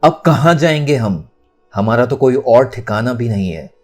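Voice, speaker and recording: A man's voice: medium pitch, animated, moderate pace, soft background music, close to an everyday voice. A man voicing a distraught middle-aged mother in an audio story, scolding with bitter reproaches. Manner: wailing reproaches, curses.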